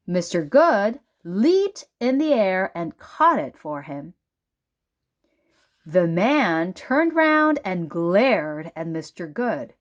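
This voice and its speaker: A woman reading a children's storybook aloud as narrator, with deliberate, dramatic emphasis. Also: lowish voice, highs cut off. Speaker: children's book reader.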